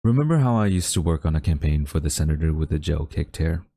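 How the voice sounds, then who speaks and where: A very low, dark and mellow voice, recorded close and dry with no room sound. It is a haughty government official played by a man with a hidden tenderness. He speaks in formal, theatrical phrases, as in an intimate audio drama. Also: lively intonation, vocal fry, no echo, full character voice. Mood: earnest, gentle. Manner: formal, theatrical, deliberate wording. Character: haughty government official, male, secretly tender toward captured villain. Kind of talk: dramatic audio roleplay monologue addressed to listener.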